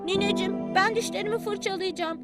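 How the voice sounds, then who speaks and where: Woman doing a high, eager little chick. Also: fairly even intonation, a little fast, loud music behind the voice. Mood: pleading.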